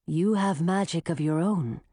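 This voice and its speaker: A woman voicing a female forest spirit who speaks in a calm, measured and knowing way. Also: lowish voice, dry recording, studio quality, pitched below the performer's own voice.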